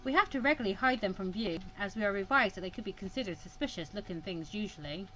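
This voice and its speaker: A woman's voice: mid-range voice, lively intonation, fast, sharp tone, faint music behind, low-quality recording. A woman hosting a casual let's play, reading a visual novel aloud and often tripping over words and correcting herself. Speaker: gaming video host.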